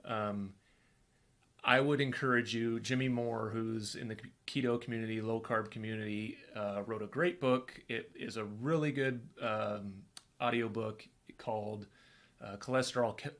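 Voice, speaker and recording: A man's voice: fairly low pitch, fairly even intonation, a little slow, fairly bright tone. A man co-hosting a casual live question-and-answer chat, reading viewer questions aloud in an easygoing, playful way.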